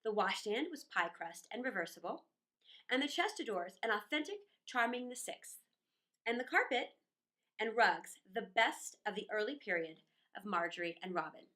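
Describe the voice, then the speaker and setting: A woman reading a children's story aloud in an expressive, playful, theatrical manner with chatty asides. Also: medium pitch, moderate pace.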